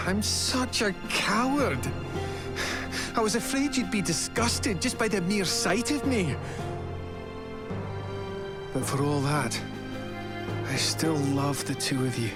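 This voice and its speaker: An actor playing a remorseful, disfigured scientist husband and father in a video game drama, earnest, halting and melodramatic. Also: a little high. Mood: devoted, resolute. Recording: loud music behind the voice. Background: loud background music.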